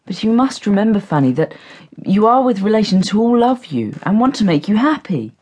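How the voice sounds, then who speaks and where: Gentle, lilting boy cousin. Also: mid-range voice, very animated, brisk, slightly rough. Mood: tender, concerned. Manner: gentle, reassuring. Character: kind, gentle teenage boy cousin, upper-class. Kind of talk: period audio drama acting.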